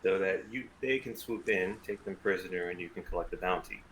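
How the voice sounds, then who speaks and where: A man's voice: sits low, fairly quick, a bit bright, slight background noise, poor audio. A man playing a wry mercenary starship pilot in a script table read, with a dry, casual, sardonic way of talking. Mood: dry, guarded.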